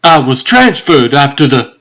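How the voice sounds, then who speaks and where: A man's voice: medium pitch, big pitch swings, measured pace, a bit bright. A man voicing a wary, wry former agent and weapons expert in a comic dub, with a dry, hesitant delivery. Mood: guarded.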